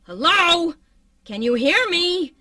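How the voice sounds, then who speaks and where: A woman's voice: very high voice, big pitch swings, slow pace, pushed and bright, heavily acted. A woman voicing a deranged, sugary-sweet murderous young wife who talks in a sing-song, doting way. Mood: sweetly unhinged. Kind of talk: haunted attraction acting. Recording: distant with music behind.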